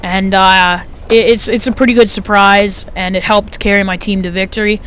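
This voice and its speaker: A fifteen-year-old boy with a light, high-sounding voice, chatting casually through his gameplay commentary with lots of fillers. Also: level tone, normal speed, a bit bright, a little hiss or hum. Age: teens. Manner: chatty, rambling, frequent fillers.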